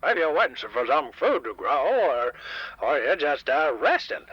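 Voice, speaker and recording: A man voicing a grumpy, stubborn old diner owner, raspy, crotchety and rambling, heard over a radio call-in line. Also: a little high, sing-song, unhurried, dry recording, highs cut off, exaggerated voice. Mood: gruff, opinionated. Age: older. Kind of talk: comedic radio drama guest. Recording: dry, band-limited radio call-in line.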